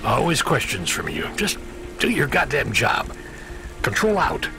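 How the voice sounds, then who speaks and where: A wasted grumpy dispatcher, super slow and croaky, slurring, music behind. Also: male voice, mid-range voice, wildly swooping pitch, exaggerated voice. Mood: irritable. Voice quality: slurred, gravelly. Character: slurring, irritable, worn-out male dispatcher, seemingly drunk.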